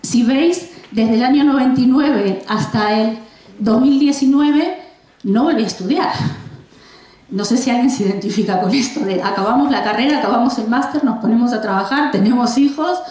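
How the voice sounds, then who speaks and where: A woman's voice: fairly high pitch, normal speed, darkish tone. A woman in her forties from Argentina, presenting her first book to an audience in a library, chatty with frequent restarts and asides. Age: 40s. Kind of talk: public book presentation talk. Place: public library room with audience. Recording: very echoey library room.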